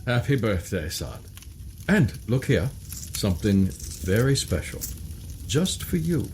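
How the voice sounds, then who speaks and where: A man's voice: on the low side, sing-song, a little mellow, a little hiss or hum. An actor playing a stern but loving older father, speaking formally in a period audio drama. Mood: fond. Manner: deliberate, commanding, formal.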